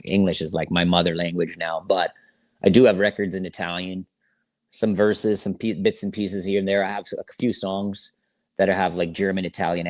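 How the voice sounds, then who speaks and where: A man's voice: low-pitched, dry recording. A man chatting casually as a musician guest in an interview, with laid-back, slangy phrasing. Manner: laid-back slangy talk, frequent "you know".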